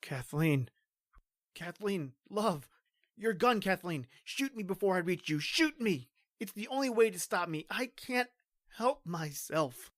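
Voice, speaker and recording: A woman's voice: on the low side, animated, unhurried, no echo, studio quality. A woman playing a stoic, protective male police officer in an old-time radio horror drama, brusque and melodramatic. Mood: desperate.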